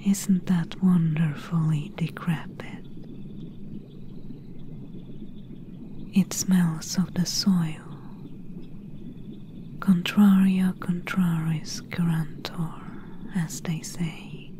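Slow, hushed swamp witch, played by a woman. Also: lowish voice, fairly even intonation, a little hiss or hum. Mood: quietly proud. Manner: slow, hushed, whispery and soothing. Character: gentle, soothing female swamp witch healer. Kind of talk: soft-spoken ASMR roleplay.

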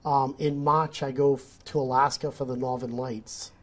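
A man's voice: mid-range voice, flat delivery, moderate pace. A man in his forties with a New England accent, a nature photographer talking as a guest on a studio interview, explaining with lots of restarts. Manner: gestures a lot, explains eagerly with restarts. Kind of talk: casual interview talk. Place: studio set. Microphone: lapel.

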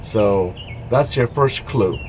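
Middle-aged man, informal devotional vlogger, unhurried and halting, mid-pitched voice, faint background noise. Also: a little mellow. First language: English. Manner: halting, explanatory. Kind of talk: informal devotional talk to camera. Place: dark greenhouse. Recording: noisy amateur recording with background ambience.

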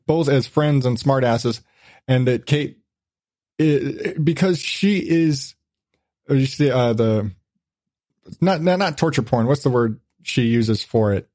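A man's voice: mid-range voice, slow, pauses often, darkish tone, no room sound. A man speaks as a podcast host in a very dry recording with no room sound. His talk is casual and rambling, feeling its way through each thought with frequent rewording. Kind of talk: casual conversational podcast chat.

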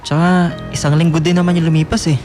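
A man's voice: on the high side, level tone, quick pace, darkish tone, natural voice. A man playing an easygoing, somewhat evasive teenage schoolboy in a radio drama, talking casually with hesitations. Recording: music bed behind the voice.

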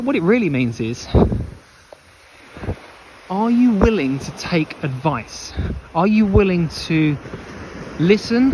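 Male running coach vlogging outdoors, slightly high voice, emphatic and repetitive delivery, clear wind noise on the mic. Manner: emphatic, repeats phrases, asks rhetorical questions. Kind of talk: opinionated off-the-cuff advice monologue to camera. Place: outdoors on a beach walk. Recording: windy, noisy outdoor mic, rumbling background. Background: wind on the microphone, ambient noise.

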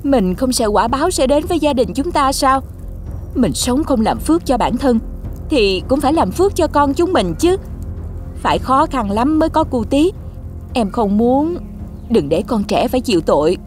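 Music sits behind a high, very expressive voice of an anxious wife. She is a gentle mother in an animated folk tale. She pleads earnestly. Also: normal speed. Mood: worried, pleading.

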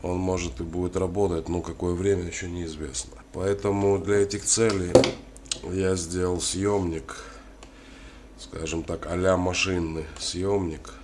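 A man with a cold giving a casual hands-on tutorial, halting and thinking aloud as he works. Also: deep voice, little pitch movement. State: has a cold. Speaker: DIY tutorial host. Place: indoors at a wooden worktable. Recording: clearly noisy home recording.

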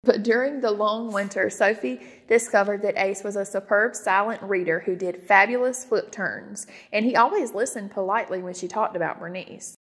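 A woman narrating a children's storybook aloud, expressive and clearly phrased. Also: medium pitch, normal speed, hardly any pauses, in a large hall. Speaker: storybook reader.

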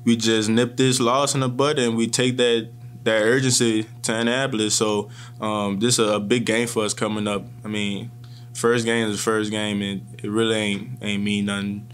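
Calm young man at a press table. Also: lowish voice, a little hiss or hum. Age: 20s. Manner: calm and measured, with frequent restarts. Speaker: college football player. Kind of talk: post-game press conference answers. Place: press room in front of a sponsor backdrop. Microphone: table microphones in front of him.

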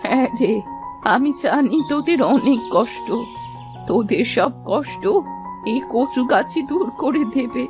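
A woman voicing a kindly, poor old village woman in a cartoon folk tale, gentle and solemn in her blessings. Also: sits high, animated, slow, music in the background. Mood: reassuring.

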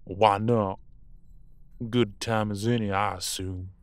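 A man playing a vengeful, stubborn werewolf captor in an audio roleplay, gruff, contemptuous and foul-mouthed. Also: fairly low pitch, fairly even intonation, slow, no room sound. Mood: cold, scornful.

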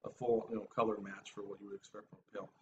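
A man's voice: lowish voice, flat delivery, rapid-fire, dull audio. A middle-aged man co-hosting a casual beer review, easygoing and stuffy-nosed. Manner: easygoing, laughs often. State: stuffy nose. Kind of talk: casual chat. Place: small room with shelves of beer bottles. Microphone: webcam recording from a distance. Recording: distant webcam sound with faint hiss.

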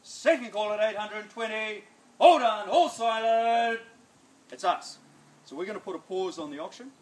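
A middle-aged man with a New Zealand accent calling bids as an auctioneer in a backyard, coaxing buyers and chanting the price in brisk repeats. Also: sits high, reverberant. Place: sunny backyard outdoors. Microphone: phone camera at a distance.

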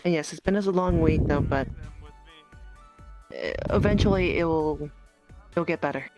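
A woman's voice: sits low, moderate pace, darkish tone, soft background music, natural voice. A woman playing a stressed, caring friend in improvised role-play, hesitant and often repeating her words. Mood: resigned, caring. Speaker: role-player in a virtual world.

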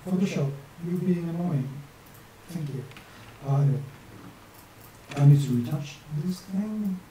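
Man with a non-native english accent, mellow voice, chatty asides, mic set back in a very echoey room. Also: fairly high pitch, lively intonation, unhurried. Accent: non-native English with some northern English influence. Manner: chatty, talks to himself, jokey asides. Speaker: map illustrator and channel host. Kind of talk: rambling chat while drawing on screen. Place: small home room at a desk with a webcam. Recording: very echoey room, distant mic, faint hiss.

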